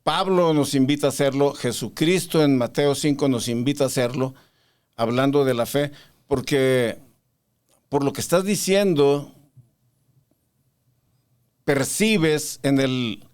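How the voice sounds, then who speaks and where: A man's voice: medium pitch, a little slow, long pauses, a little mellow, clean tone. A man hosting a faith podcast in casual conversation, probing his guest and cutting in to push back. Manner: probing, interrupts to challenge. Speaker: podcast host.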